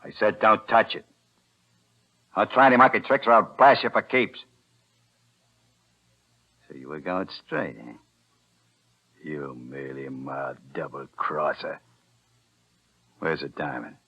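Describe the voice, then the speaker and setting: An actor playing a threatening gangster hoodlum, slangy and hard-bitten, in a 1940s radio thriller. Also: male voice, a bass voice, slow, pauses often, slightly rough, heavily acted. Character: threatening, double-crossed gangster hoodlum with a gun. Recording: muffled vintage radio broadcast.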